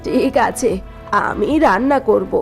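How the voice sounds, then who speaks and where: A woman's voice: mid-range voice, measured pace, faint music behind, natural voice. A woman playing a scornful, domineering mother-in-law. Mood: bossy. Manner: sneering, complaining. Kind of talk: cartoon voice acting.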